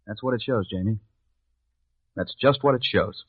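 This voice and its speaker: A newspaper reporter in a 1950s radio drama, calm and measured. His fairly low voice sits close and dry in muffled vintage broadcast sound. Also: slightly rough, no room sound. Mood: reassuring. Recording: dull old radio recording.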